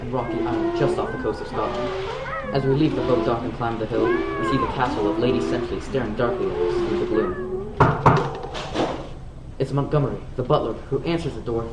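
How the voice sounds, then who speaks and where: Teen boy as old-time radio announcer, scripted delivery, medium pitch, echoing room, off-mic. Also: close to an everyday voice. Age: teenager. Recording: roomy, distant, clear background noise.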